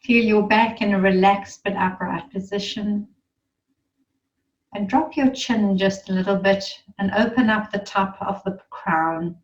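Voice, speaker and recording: A woman leading a guided meditation in a soothing, unhurried manner, with gentle instructions and pauses. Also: mid-range voice, steady tone, far from the mic, dull audio.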